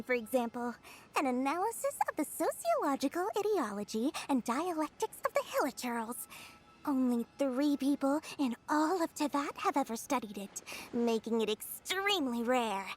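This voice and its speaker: A small, crafty merchant from a video game who adores money. Her voice is high and very animated, sliding through theatrical sales patter and stretching words playfully, with faint music behind. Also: normal speed. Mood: sales pitch.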